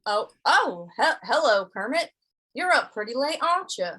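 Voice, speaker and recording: A woman's voice: fairly high pitch, big pitch swings, normal speed, bright, forceful tone, slightly rough, full character voice. A woman playing an easygoing, laconic male cowboy in an old-time western radio drama, with a folksy, hesitant, stammering delivery. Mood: weary.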